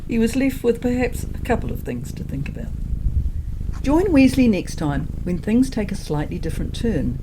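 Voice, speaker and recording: A woman's voice: fairly high pitch, very animated, moderate pace, noisy background. A woman narrating a scripted first-person story in a wry, dry manner.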